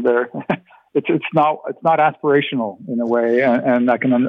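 An older man whose first language is Ukrainian talks haltingly, full of restarts and fillers. His voice arrives thin and tinny over a phone line. The sound is completely dry. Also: medium pitch, no echo. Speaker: interview guest. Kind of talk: phone interview on radio.

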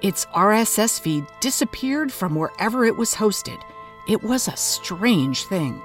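An actress playing a thoughtful investigator who hosts and interviews in a documentary style, questioning and measured. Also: female voice, medium pitch, sing-song, soft background music. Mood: curious, reflective. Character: inquisitive, measured investigator of obscure culture, interviewer. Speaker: host and interviewer.

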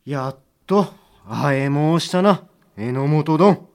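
A Satsuma-dialect samurai official. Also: male voice, on the high side, lively intonation, slow. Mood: dignified, gruff. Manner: formal, archaic, heartfelt pleading. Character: stern but earnest male samurai-era official. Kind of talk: studio audio drama acting.

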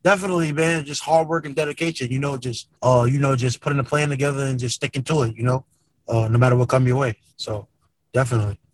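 A man's voice: medium pitch, fairly even intonation, quick pace, no room sound, poor audio. Male rapper as podcast guest, mid-range voice, dry close sound, earnest and casual. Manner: earnest, repeats tags like "man" and "you know". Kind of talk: casual interview chat.

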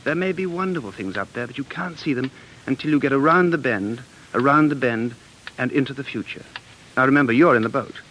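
A man's voice: medium pitch, brisk, fairly bright tone, a little hiss or hum, close to an everyday voice, poor audio. A man playing an earnest, dreamy American living in London, speaking intensely and musingly in 1940s radio drama style. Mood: eager, persuasive. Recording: 1940s radio broadcast, faint music.

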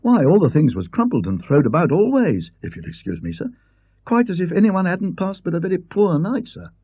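A meek young hotel maid chatters apologetically in plain servant's speech, as a man puts her on. Her voice sits high and husky, and the lo-fi recording sounds dull. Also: wildly swooping pitch, brisk, no room sound, exaggerated voice. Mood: deferential. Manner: humble servant's speech, ungrammatical.

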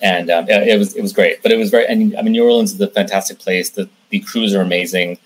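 Man, low husky voice, interview guest, explanatory, hesitant phrasing with repeats. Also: steady tone, quick pace. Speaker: interview guest, film director and producer. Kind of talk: conversational interview answers.

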